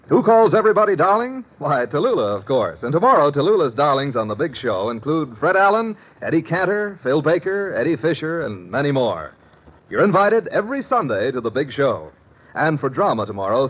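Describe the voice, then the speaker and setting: A man's voice: mid-range voice, breathy edge. A man with an American accent announcing on 1950s radio in an emphatic, polished broadcast style. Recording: muffled vintage radio, faint music.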